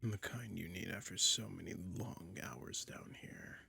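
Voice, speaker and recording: A man voicing a soft-spoken, teasing dragon in a hypnotic ASMR roleplay, mostly in a breathy, lulling whisper. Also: sits low, very animated, measured pace, exaggerated voice. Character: soothing, toying male dragon lurking in a cave.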